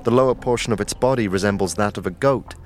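A man giving steady, descriptive second-person narration for a fantasy audio drama. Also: on the low side, fast talker. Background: music.

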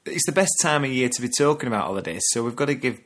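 Casual Lancashire bloke co-hosting a podcast, easygoing mid-pitched voice, quick to laugh. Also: male voice, fairly bright tone. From Burnley, Lancashire, England. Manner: chatty, laughs often, drops "the". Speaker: podcast co-host. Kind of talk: casual chat. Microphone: video call.

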